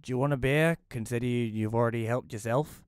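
A man's voice: medium pitch, quick pace, clean tone, no echo, close to an everyday voice. A man playing a reclusive, wry widower, narrating an audio play in the first person in a dry, reflective way. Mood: bemused, wry.

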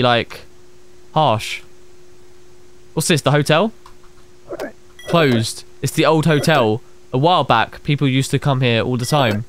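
A young man plays a horror game, reading its text aloud and reacting with quick exclamations and asides to viewers. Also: medium pitch, a bit bright, a little hiss or hum. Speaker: gaming streamer. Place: small room at a desk. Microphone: headset. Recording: game music playing under the voice.

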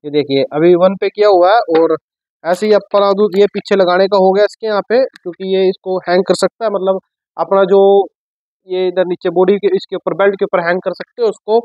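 A man, giving a casual unboxing and sales pitch as a shop presenter, explaining informally and repeating himself. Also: steady tone, a little fast, darkish tone, dry recording, highs cut off. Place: shop office with sofa, indoors.

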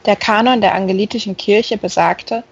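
A woman narrates a novel from a script. Her voice is husky and sits at a middle pitch. The recording sounds a little dull and lo-fi. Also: steady tone, moderate pace. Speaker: audiobook reader. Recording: slightly dull, band-limited sound.

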